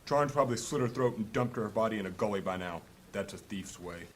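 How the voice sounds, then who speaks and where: A man acting a gruff, blunt, distrustful warrior in a fantasy audio drama. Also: fairly low pitch, lively intonation, a little fast. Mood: bitter, accusing.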